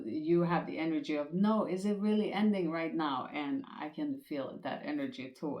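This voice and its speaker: A woman whose first language is Swedish hosts a spiritual podcast. Her voice sits low, and she speaks gently and reassuringly, coming back to her points again and again. The sound has a roomy echo. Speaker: podcast host.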